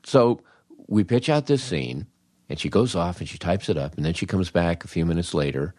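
Low-voiced older American man, dry studio sound. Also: animated, moderate pace, a little mellow, dry recording. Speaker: podcast host. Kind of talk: comic anecdotal storytelling.

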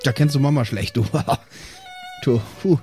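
A man's voice: fairly high pitch, huge pitch swings, takes it slowly, dark tone. Casual, hesitant man over faint music. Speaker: player in a pen-and-paper role-playing show. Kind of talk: improvised casual chat.

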